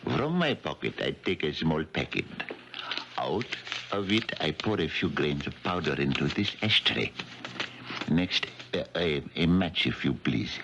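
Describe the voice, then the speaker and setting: Very deep-voiced theatrical mystic guru. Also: male voice, big pitch swings, unhurried, gravelly, heavily acted. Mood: ceremonious. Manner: formal, ceremonious, hesitant showman patter. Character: theatrical male psychic guru, polite, mysterious showman. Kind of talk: 1970s radio drama acting. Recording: dull, hissy 1970s radio recording.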